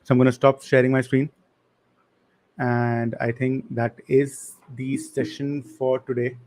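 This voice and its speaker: A man's voice: medium pitch, level tone, unhurried, darkish tone, slight background noise. A young man teaching a live online coding class, casual and apologetic, answering the chat with slang.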